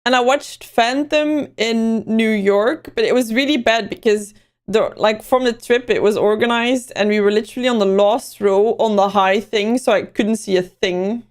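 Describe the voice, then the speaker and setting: A young woman from Belgium, her mother tongue, with a lilt to her English. Her voice sits a touch high, and she chats loosely on a livestream, wandering from thought to thought as she answers her viewers. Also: normal speed. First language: Dutch. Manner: chatty, rambling, answering chat messages. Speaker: livestream host. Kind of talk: casual livestream chat.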